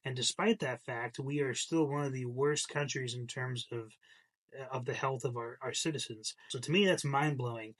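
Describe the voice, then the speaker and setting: A man's voice: medium pitch, moderate pace, fairly bright tone. A young man hosting his own channel, slightly congested from a cold, talking casually in a rambling way with many fillers and repeated words. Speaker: channel host. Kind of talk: casual commentary to camera.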